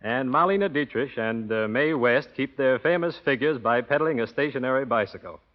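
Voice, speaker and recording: A man's voice: medium pitch, lively intonation, a little fast. An American man giving a scripted promotional talk as a guest expert on 1930s radio. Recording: muffled old radio broadcast.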